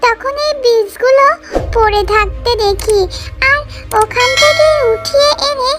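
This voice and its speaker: A woman voicing an eager, sweet little fox girl in a children's cartoon, with a childlike, simple delivery. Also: very high voice. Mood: earnest.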